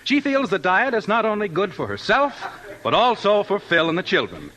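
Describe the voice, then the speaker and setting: A man's voice: high-pitched, very animated, normal speed, rough recording. A man announcing a 1950s radio show in a formal, projected, scripted style. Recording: old radio broadcast over loud music.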